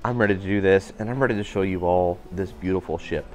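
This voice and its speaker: A man giving an upbeat walking tour of a cruise ship, his voice a little raspy today. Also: sits low, quick pace. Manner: upbeat, enthusiastic guiding. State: slightly raspy voice. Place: indoor public areas of a cruise ship.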